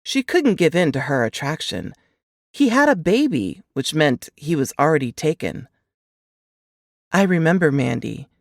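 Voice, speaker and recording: Animated woman narrating an audiobook. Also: low-pitched, moderate pace, no room sound, studio quality. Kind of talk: expressive audiobook narration of romantic suspense.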